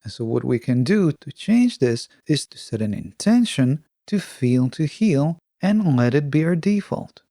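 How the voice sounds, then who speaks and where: Guy doing a scripted self help podcast, earnest n instructive, gravelly creak in a soft mellow voice, very dry sound. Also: a little high, very animated, unhurried, hardly any pauses, no room sound. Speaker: podcast host.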